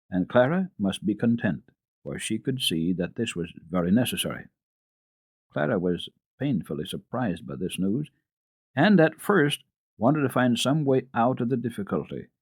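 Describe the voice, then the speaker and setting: A man narrating a children's classic as a radio storyteller, in a measured, expressive, formal reading. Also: medium pitch, slightly rough, dry recording.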